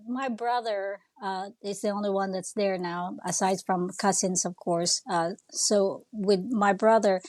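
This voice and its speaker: A woman's voice: medium pitch, dry recording, rough recording. A woman in her fifties with a Filipino accent, talking casually as an interview guest and often searching for words. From Philippines. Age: 50s. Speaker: podcast guest, nonprofit founder.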